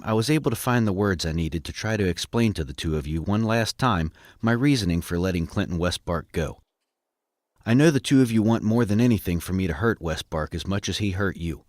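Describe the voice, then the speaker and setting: A man voicing an earnest, patient and principled man in an audio drama, explaining himself in a measured, formal way. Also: on the low side, no room sound, clean studio sound, close to an everyday voice.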